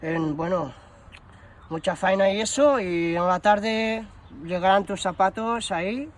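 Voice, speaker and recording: A man's voice: high voice, unhurried. A man playing a bumbling shoe shop promotions employee on a prank call, hesitant and rambling, full of fillers and slang. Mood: chatty, hesitant. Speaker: prank caller. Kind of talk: improvised prank phone call.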